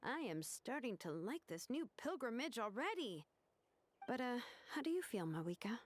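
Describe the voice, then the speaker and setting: A woman's voice: fairly high pitch, very animated, moderate pace, a little hiss or hum. A woman voicing a casual, evasive young craftswoman who dodges work, in a video game. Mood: curious, casual.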